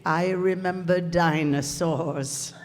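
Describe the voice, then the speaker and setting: A woman's voice: on the low side, fairly even intonation, takes it slowly. A woman acting a proud, flustered 75-year-old widow in a radio play, with formal, stammering speech. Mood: gently chatty. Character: elderly widow, 75, indignant, wistful, proud of her girlhood. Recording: echoey hall, noisy live stage recording.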